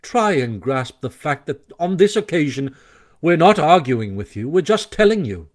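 A man's voice: fairly high pitch, very animated, moderate pace. A storybook water rat, as a man puts him on, firm and earnest, raising his voice a touch above the narration. He lays down the law kindly, almost apologetic.

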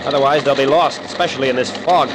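An actor playing a gruff, decisive, cynical sea captain with an American accent and a curt, bossy, wry manner in an old-time radio drama. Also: male voice, mid-range voice, fast, natural voice. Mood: wry, confident. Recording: noisy vintage radio recording, engine drone.

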